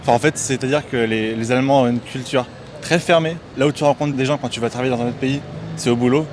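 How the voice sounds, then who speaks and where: A man's voice: mid-range voice, noisy background. A man from France, now living abroad, explaining his experience to a street interviewer. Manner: quick, explanatory. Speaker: passer-by. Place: city street outdoors.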